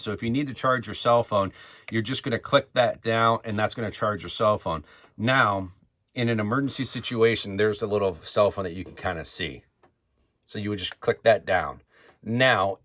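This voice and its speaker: A man giving a casual unboxing review as a product reviewer, speaking informally and a bit haltingly. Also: fairly low pitch, fairly bright tone, dry recording. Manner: informal, hesitant, halting delivery.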